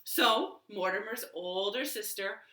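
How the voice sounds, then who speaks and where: A woman telling a children's story in an animated, expressive way with dramatic pauses, as a library storyteller. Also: mid-range voice. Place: living room. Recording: roomy, echoey home recording.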